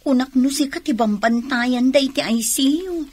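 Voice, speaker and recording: A woman playing an anxious, protective relative in an Ilocano radio drama, with a husky voice. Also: high-pitched, moderate pace, a little mellow.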